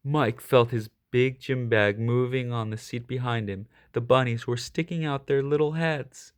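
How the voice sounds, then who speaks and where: A man reading a children's picture book aloud as an expressive storyteller. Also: medium pitch, a little slow, clear, resonant tone. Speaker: storybook reader.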